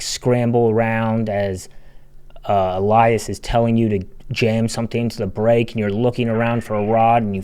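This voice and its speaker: A man's voice: fairly low pitch, a little mellow, a little hiss or hum. A man giving opinionated video essay commentary in a blunt, casual, sweary manner. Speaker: video game critic, channel narrator.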